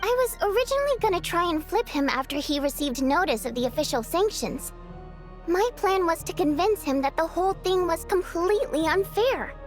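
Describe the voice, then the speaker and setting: A woman voicing a youthful, earnest and thoughtful female companion in a story game, explaining things in a measured way. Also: very high-pitched. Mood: serious, analytical. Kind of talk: scripted game story dialogue.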